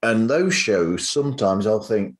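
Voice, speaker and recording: A man's voice: fairly low pitch, animated, a little slow. A man talking casually as a podcast host, in a rambling, anecdotal way.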